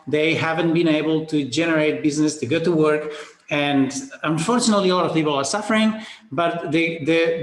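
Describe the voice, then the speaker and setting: A fairly high-voiced Spanish-accented man hosting a live online webinar over a computer mic, his voice ringing in a roomy, echoing space. He talks in a chatty, upbeat way, greets people by name and is full of fillers and quick restarts. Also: a bit bright. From Spain. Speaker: webinar host.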